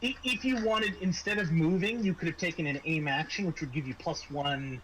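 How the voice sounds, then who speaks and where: A man acting as game master, explaining a tabletop game casually in a halting way. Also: on the high side, fairly bright tone. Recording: clearly noisy room recording.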